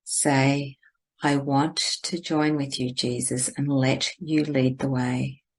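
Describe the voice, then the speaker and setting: A woman with an exceptionally low voice guides a spiritual meditation. She speaks slowly and deliberately, giving gentle instructions in a measured way. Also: level tone, breathy edge.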